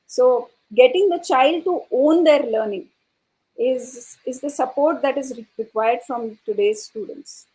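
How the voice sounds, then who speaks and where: A woman speaking as an educator on a panel, explaining her views in a halting, thoughtful way and often repeating words. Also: high voice, mellow, rough recording. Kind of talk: panel discussion answer, explanatory.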